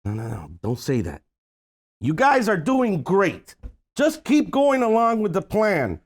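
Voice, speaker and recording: A man's voice: sits high, huge pitch swings, unhurried, heavily acted. A man playing a pushy, pleading film producer worried about money, in a comedy table read. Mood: urgent, pleading.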